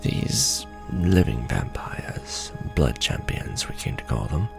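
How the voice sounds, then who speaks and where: A man playing a courtly, ancient vampire lord in an ASMR roleplay, speaking intimately and formally in a gravelly, often breathy half-whisper with measured pauses. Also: extremely low pitch, lively intonation, full character voice. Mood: explaining, solemn. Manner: formal, archaic wording, measured delivery with pauses. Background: music.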